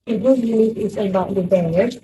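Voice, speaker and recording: A woman's voice: medium pitch, fairly quick, background noise, close to an everyday voice. A woman playing an anxious young granddaughter bringing grave news in a stage play. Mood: urgent. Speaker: student actor.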